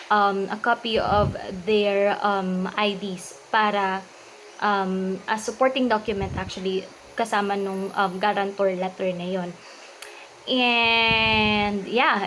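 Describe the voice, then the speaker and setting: A young woman with a Filipino accent explains things to her viewers at an unhurried pace, filling pauses with um and you know. She sits close to the camera in a small room, with a faint hiss behind her. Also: mid-range voice, low-quality recording. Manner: mixes Tagalog and English, many ums, addresses viewers as guys. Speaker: vlogger. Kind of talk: casual explainer talking to camera. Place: small room indoors, seated on a couch.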